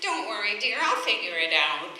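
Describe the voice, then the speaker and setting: A woman's voice: mid-range voice, big pitch swings, normal speed, pushed and bright. An American woman playing a cheerfully scatterbrained, earnest wife who muddles her words in an old radio comedy. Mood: muddled. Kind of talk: 1940s radio comedy acting. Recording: very echoey old radio recording.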